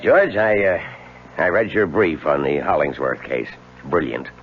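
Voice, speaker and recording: An actor playing a genial older law firm boss in a 1970s radio drama. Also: male voice, rumbling low, lively intonation, unhurried, exaggerated voice. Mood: affable. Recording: dull vintage radio with faint hum.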